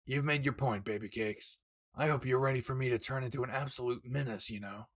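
A man playing a brash but devoted young husband and excited father-to-be in an intimate, teasing, tender audio roleplay. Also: mid-range voice, a little fast, natural voice. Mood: excited, loving.

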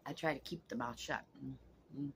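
A woman's voice: a bass voice, moderate pace, noisy background. A woman in her sixties vlogging from her couch, talking frankly and cussing freely, straight to the camera. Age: 60s. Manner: blunt, frank and profane, talks straight to camera. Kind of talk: casual vlog monologue. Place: small home room, seated on a couch.

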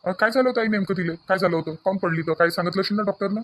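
Man acting an earnest, fast-talking young son. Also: high-pitched, a bit bright. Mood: anxious. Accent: Varhadi Marathi of Vidarbha. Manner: earnest, hurried, repetitive. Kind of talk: family cartoon dialogue.

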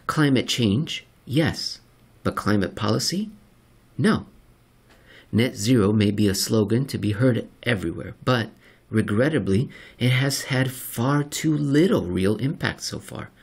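Slightly husky man reading an article aloud. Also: mid-range voice, animated, a little slow. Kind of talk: scripted narration of an economics article.

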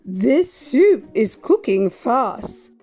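A woman's voice: a little high, big pitch swings, a little mellow, soft background music, full character voice. A woman voicing an easily amazed little old lady from a storybook, drawing out her exclamations. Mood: delighted, amazed. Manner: drawn-out, exclaiming delivery.

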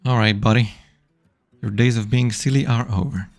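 A man's voice: fairly low pitch, fairly even intonation, moderate pace, faint music behind. A man streaming a digital card game live, playfully chatting with his opponents and viewers.

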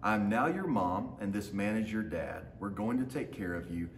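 Guy teacher doing a kids book read-aloud, kinda low voice, big roomy echo. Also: expressive, normal speed. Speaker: teacher reading aloud to students. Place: large open indoor lounge with hard floor. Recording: roomy echo, faint background noise.

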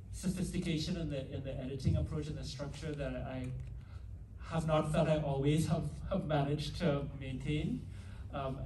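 A man's voice: medium pitch, background noise. Older man, faint Trinidadian accent, hesitant director on a stage panel, handheld mic heard from far off in an echoey hall. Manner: hesitant, many restarts and fillers. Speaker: film director. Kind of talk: panel Q&A answer. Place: theatre stage before an audience. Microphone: handheld mic, recorded from far in the hall. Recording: roomy hall audio from far off.

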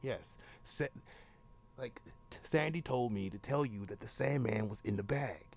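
A young man making an improvised prank phone call as the caller, rambling insistently and repeating himself in a gravelly voice. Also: mid-range voice, measured pace, a little mellow.

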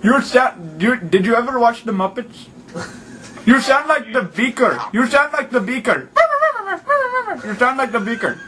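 High-pitched pushy foreign prank caller. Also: male voice, lively intonation, normal speed, long pauses, bright, forceful tone, slight background noise, full character voice. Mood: insistent. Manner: indignant, repetitive broken English without contractions. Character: pushy, absurd, crude foreign male phone caller. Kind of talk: improvised prank phone call.

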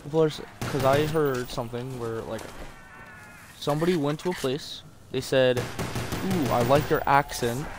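A man's voice: mid-range voice, moderate pace. Man rambling over noisy shooter gameplay. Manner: rambling, self-deprecating, swears often. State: sore throat. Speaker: gaming video host. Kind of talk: casual gameplay commentary. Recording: game gunfire and noise behind voice. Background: zombie shooter gunfire and ambience.

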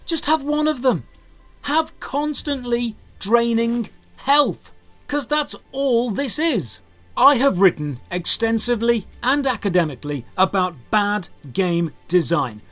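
A man's voice: very high-pitched, fairly bright tone. A man in his thirties narrating a scripted game review as host, with an emphatic, exasperated, rhetorical delivery. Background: background music.

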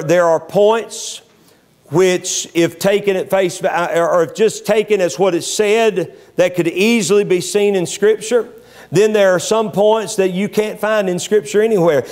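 A middle-aged man preaching a sermon from a pulpit in a small church, emphatic, repeating words to press a point and reading scripture in a measured cadence. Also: a little high, darkish tone. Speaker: pastor.

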